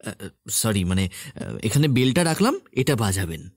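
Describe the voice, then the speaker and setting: A man's voice: medium pitch, sing-song, moderate pace, breathy edge. A man acting a shy, hesitant, solicitous brother-in-law in an audio drama. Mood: shy, apologetic. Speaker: voice actor.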